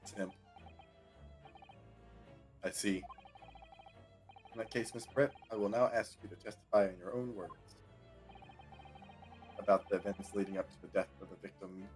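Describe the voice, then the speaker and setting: Man reading game lines, lowish voice. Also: fairly even intonation, normal speed, pauses often, faint music behind. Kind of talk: reading game dialogue aloud with casual commentary.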